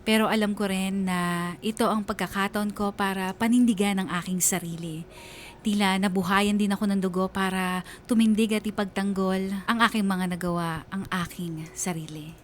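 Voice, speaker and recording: A woman delivers dramatic scripted narration for radio. Her voice is medium in pitch and earnest, with emotive phrasing and faint background noise. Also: fairly even intonation, moderate pace. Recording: faint noise and ambience behind.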